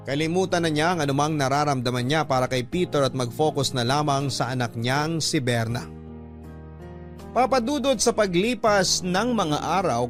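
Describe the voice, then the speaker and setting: Man, earnest radio narration, raised pitch, music underneath. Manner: earnest storytelling, reading a woman's letter in first person. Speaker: narrator reading a listener's letter. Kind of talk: radio-drama letter narration. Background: background music.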